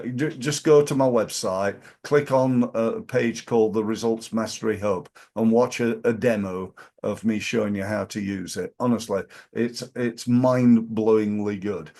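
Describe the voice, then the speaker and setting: A middle-aged man, hoarse from the flu, hosting a business webinar in a chatty, pushy way, often restarting his words. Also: fairly low pitch, a little mellow. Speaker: webinar host, business coach. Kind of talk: informal teaching webinar. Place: office, in front of a background screen.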